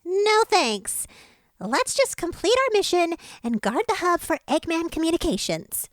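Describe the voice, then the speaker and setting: Woman as young cartoon animal sidekick, high-pitched, dry sound, earnest, sensible, audio drama. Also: very animated, a little slow, no room sound, heavily acted. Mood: worried. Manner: pleading, sensible. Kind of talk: fan audio drama acting.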